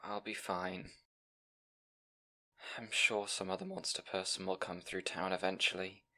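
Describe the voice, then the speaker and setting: A man's voice: deep voice, steady tone, unhurried, bright, forceful tone. Man acting a nervous, stammering young scribe. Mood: tearful, defeated. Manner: anxious, hesitant, stammering. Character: nervous, bookish young male scribe, timid and eager. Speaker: audio roleplay performer. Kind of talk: audio roleplay acting, addressing the listener.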